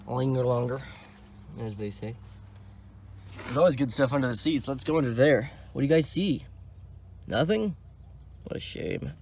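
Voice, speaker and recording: A young man talking casually while he works over a cheap car, thinking out loud and tossing in sarcastic jokes. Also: mid-range voice, animated, normal speed, vocal fry, long pauses, slight background noise. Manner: wisecracking, sarcastic asides; offhand, thinks aloud while working on a car. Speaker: car vlogger. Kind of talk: casual vlog commentary.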